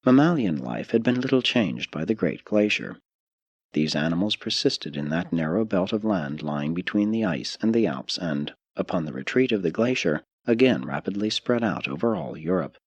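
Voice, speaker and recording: A man reading a scripted audiobook narration in a measured, formal way with clearly phrased sentences. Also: low voice, expressive, no room sound, dull audio. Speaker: audiobook reader.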